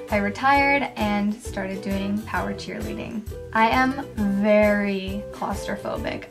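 A woman of twenty with a naturally quiet, soft voice, chatting casually to the camera in her bedroom for a facts-about-me vlog, filling her talk with "like" and the odd laugh. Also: medium pitch. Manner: chatty, filler-heavy, laughs often. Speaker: beauty vlogger. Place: bedroom, indoors, small. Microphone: camera at close range, facing it. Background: background music.

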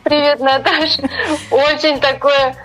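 A woman's voice: high-pitched, level tone, a little slow, fairly bright tone, faint music behind. A woman voice actress and teacher, a guest on a casual radio interview, friendly and joking.

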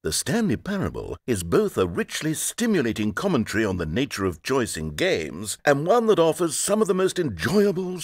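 An actor voicing a fussy, self-important male game narrator with a slightly husky voice, dry and sarcastic. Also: medium pitch, no room sound. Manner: dry sarcastic, theatrical swings from indignant to wounded. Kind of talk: scripted video game narration. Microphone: close studio mic. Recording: dry studio recording.

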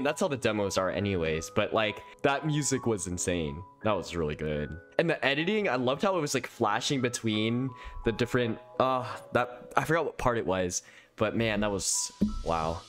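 A man in his thirties giving casual, exclamatory live reactions as a streamer, on a close desk mic. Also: mid-range voice. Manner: excitable, exclamatory. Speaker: streamer reacting to a game trailer. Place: small room at home, indoors. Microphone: close desk microphone. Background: faint game trailer music.

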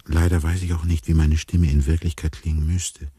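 An actor playing a bewildered amnesiac man who muses wryly and deliberately in a radio drama monologue. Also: extremely low pitch, little pitch movement, mellow, breathy edge, exaggerated voice. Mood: musing, bewildered. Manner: deliberate, musing, wry. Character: bewildered amnesiac man narrating his inner thoughts.

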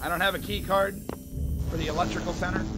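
A young man in his twenties with a hoarse, sore throat, casually commentating a game from a small bedroom, swearing often and reacting loudly. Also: high voice, moderate pace, loud music behind the voice. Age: 20s. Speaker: gaming YouTuber. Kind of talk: casual let's play commentary. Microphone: desk mic, webcam in corner.

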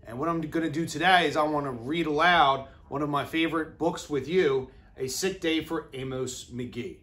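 A man in his thirties reading a children's storybook aloud with deliberate, expressive phrasing, as an educator does for young children. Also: fairly high pitch. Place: classroom, indoors.

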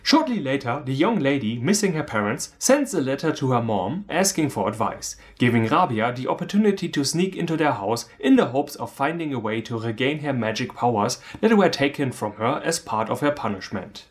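A man narrates a comedic film review. His medium-pitched voice moves up and down a lot, with a sarcastic, irreverent edge. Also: sing-song, fairly quick, few pauses. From Germany. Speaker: movie reviewer, video host. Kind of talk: scripted comedic movie recap narration.

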